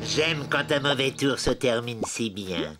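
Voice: mid-range voice, lively intonation, unhurried, close to an everyday voice. An actor voicing a sly, grumpy male goblin who loves gloom, scheming and theatrical, in a French children's cartoon. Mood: smug. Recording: music behind the voice. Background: music.

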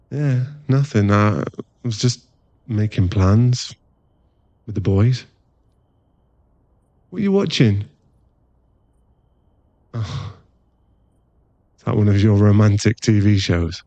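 A man's voice: fairly low pitch, warm tone, no room sound, muffled recording. A man playing a playful, affectionate, laddish werewolf boyfriend in a casual, teasing ASMR roleplay. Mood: sweet, curious. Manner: casual, laddish, teasing, drops into murmured asides.

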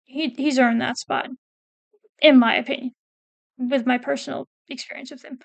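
High, gravelly-voiced woman chatting casually. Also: fairly even intonation, normal speed, dry recording. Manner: chatty, hesitant, self-correcting, reads quotes aloud. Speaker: PNGtuber ranking game characters. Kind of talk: casual commentary while reading a wiki.

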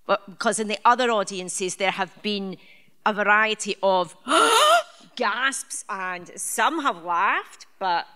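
A woman's voice: mid-range voice, sing-song, takes it slowly, bright, forceful tone. A woman speaking as assistant director on a live theatre panel, chatty and often restarting her sentences. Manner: chatty, with frequent restarts and fillers. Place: theatre stage. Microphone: handheld mic.

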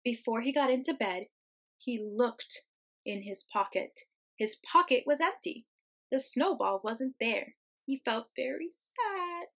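A young woman, a teacher reading a children's storybook aloud, expressive and explaining things simply for young listeners. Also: a little high, measured pace. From United States. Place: small room at home, indoors.

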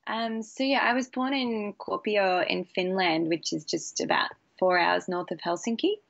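A young woman, a bassist chatting casually as a podcast guest in a relaxed, easy way. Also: moderate pace, gravelly, highs cut off. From Australia. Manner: relaxed, chatty, laughs easily. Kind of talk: casual interview chat.

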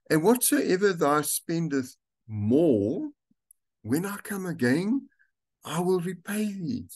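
An older man, a pastor, reading scripture aloud and preaching a sermon slowly and deliberately from a room at home. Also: on the high side, a little mellow, breathy edge. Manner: slow, deliberate reading with stretched words. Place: home room with curtains, indoors.

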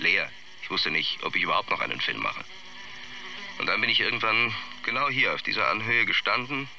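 Arrogant director, low, forceful voice. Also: male voice, vocal fry, slight background noise, heavily acted, poor audio. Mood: brooding, self-important. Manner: halting, dismissive, self-important. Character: arrogant, brusque male film director, self-absorbed artist. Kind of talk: radio drama staged as an interview.

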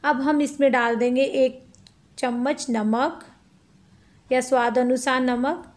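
A woman's voice: high voice, fairly even intonation, takes it slowly. A woman hosting a cooking channel, chatting step by step through a recipe in a home kitchen and calling her viewers friends.

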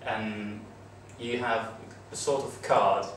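A man's voice: lowish voice, steady tone, slow, fairly bright tone. A young man from France with a French accent, speaking English in a matter-of-fact way in a classroom. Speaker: exchange student on a panel. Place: classroom with projector screen. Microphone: far camera. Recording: echoey room, distant mic, noisy.

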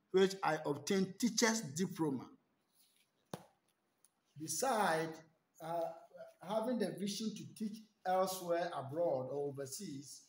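A man's voice: sits high, darkish tone. A man in his thirties from Ghana with a Ghanaian English accent, introducing himself as a teacher in an earnest, emphatic way. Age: 30s. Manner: earnest, emphatic, listing repetitively. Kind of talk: self-introduction video for job applications. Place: large indoor hall with stacked chairs. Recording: echoey room.

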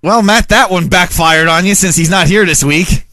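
A man's voice: sits high, normal speed, bright, forceful tone, no echo. A man hosting a radio show in casual chat, teasing and mocking. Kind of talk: casual chat, reacting to listener voicemails.

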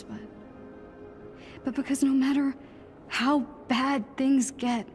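Game casting: woman as earnest teen heroine, American accent, lightish high voice, heartfelt, music underneath. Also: darkish tone, natural voice. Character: earnest, plucky teenage superhero girl. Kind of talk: dramatic game cutscene acting.

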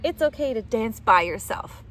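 Woman, middle-aged, children's picture-book reader, lively swooping intonation, speaking straight to kids, light outdoor background noise. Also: a little high, normal speed. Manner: animated, addressing young children directly. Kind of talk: expressive picture-book read-aloud for children. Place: outdoors in leafy woods.

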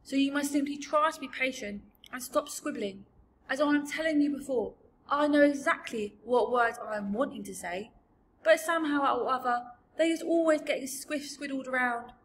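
A woman voicing a huge, very old, gentle male giant from a storybook, with mangled grammar and invented words. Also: high-pitched, normal speed, a little hiss or hum, pitched above the performer's own voice, natural voice. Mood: wistful, explaining. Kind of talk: storybook character voice.

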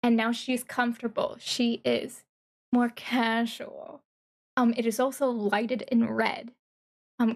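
Slow-paced, higher-voiced woman streamer. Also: vocal fry. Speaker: VTuber streamer. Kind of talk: reaction commentary on a game trailer.

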